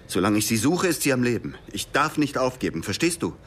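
An actor playing an anguished, obsessive man in a radio drama, speaking haltingly, repeating himself and breaking off. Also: mid-range voice, very animated, fairly bright tone. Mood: wistful, obstinate. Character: anguished, obsessive man searching for a missing girl. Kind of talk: naturalistic radio drama dialogue.

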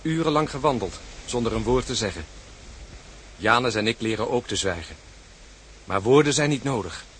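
An actor playing a hearty, loyal and blunt ship's mate in a Dutch radio drama. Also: male voice, mid-range voice, animated, normal speed, long pauses, fairly bright tone, slight background noise, lightly acted. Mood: quiet, reporting.

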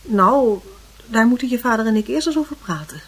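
Slightly husky, proper mother. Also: a little high, lively intonation, a little fast. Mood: guarded, hesitant. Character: hesitant, proper mother of a schoolboy. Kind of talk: radio play acting.

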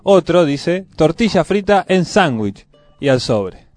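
A man's voice: on the high side, sing-song, slow, darkish tone, dry recording, soft background music. A man with a Buenos Aires area Argentine accent hosting a casual live radio chat. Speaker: radio host. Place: radio studio.

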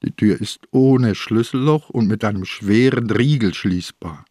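Low-voiced old police inspector. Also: male voice, measured pace, darkish tone, husky, dry recording, studio quality. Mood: grave reading. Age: older. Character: old bedridden police inspector, helpless yet stubborn. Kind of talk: radio crime drama acting.

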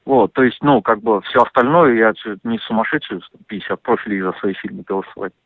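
A man talking over the phone, animated and indignant, full of fillers and restarts. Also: on the low side, a little fast, no room sound. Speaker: interviewee on a phone call. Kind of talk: casual phone conversation. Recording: dry tinny phone line.

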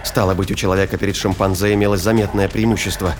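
A man's voice: low voice, flat delivery, fast talker, background noise. A man reading scripted narration for a sci-fi audio drama. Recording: studio reading over prominent music and effects.

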